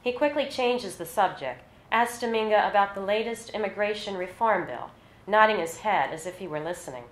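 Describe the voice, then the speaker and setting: A woman's voice: mid-range voice, lively intonation, a little fast. Echoey live reading by a woman author. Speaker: author reading her own short story. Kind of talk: literary reading of scripted fiction to an audience. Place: indoor hall at a literary reading. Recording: roomy, echoey live event recording.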